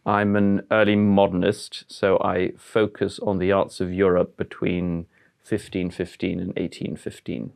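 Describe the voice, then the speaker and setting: A man's voice: deep voice, level tone, a little slow, gravelly, darkish tone. A man of about forty who grew up in France, a museum curator giving thoughtful, searching answers in an interview. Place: historic house, indoors.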